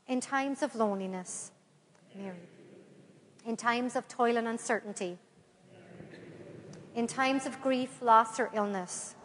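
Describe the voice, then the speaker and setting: An Irish woman in her forties addresses a congregation in a large church, her slightly high voice carrying with a long reverb. She is recorded from a camera out in the pews. She speaks in a lively, friendly way, then slows down to read a prayer. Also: a little hiss or hum. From Ireland. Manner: lively, warm address to a mixed-age congregation, then slow measured prayer reading. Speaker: retreat speaker. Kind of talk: parish talk and led prayer. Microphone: far camera in the pews.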